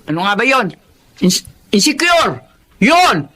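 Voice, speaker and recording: A woman's voice: fairly high pitch, sing-song, slow, sharp tone, full character voice. A stern scolding mother. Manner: scolding, lecturing. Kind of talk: radio drama acting.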